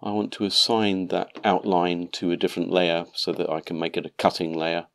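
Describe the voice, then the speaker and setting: A man narrating a calm step-by-step tutorial, sounding nasal from a cold. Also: low-pitched, moderate pace, gravelly. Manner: calm, methodical explaining. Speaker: maker channel host.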